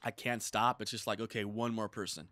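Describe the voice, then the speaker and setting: A man in his thirties rambles through a relaxed podcast chat, leaning on filler words and acted-out asides. His voice sits a little low. He is recorded close on a desk mic in a small studio room with very little echo. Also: fairly quick. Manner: rambling, many "like" fillers, animated retelling. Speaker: podcast co-host. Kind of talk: casual chat. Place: small studio room with dark curtain backdrop. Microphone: desk mic on boom arm.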